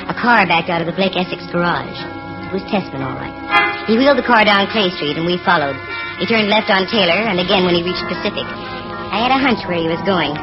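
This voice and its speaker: A woman's voice: fairly low pitch, lightly acted. Actress playing a wisecracking lady detective, dull vintage sound. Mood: brisk, breezy. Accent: American. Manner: breezy, slangy, wry. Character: sharp-witted wisecracking female private detective. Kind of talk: 1940s radio detective acting and narration. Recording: dull vintage radio recording with music.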